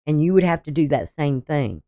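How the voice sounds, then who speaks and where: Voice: mid-range voice, lively intonation, fairly quick. Rambling astrologer in their sixties, muffled. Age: 60s. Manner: rambling, hesitant, with frequent ums and restarts. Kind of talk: casual daily astrology reading over a chart.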